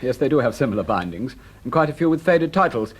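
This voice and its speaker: A British man acting a smooth, unruffled suspect in a radio drama, suave and casually polite with a husky voice. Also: mid-range voice, big pitch swings, fast. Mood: casually assured. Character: smooth, unruffled male suspect with an answer ready.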